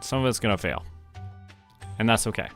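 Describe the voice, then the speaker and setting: A man from Canada giving casual, relaxed commentary as he streams a game, often talking to himself. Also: lowish voice, a little fast, vocal fry. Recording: music behind the voice. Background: music.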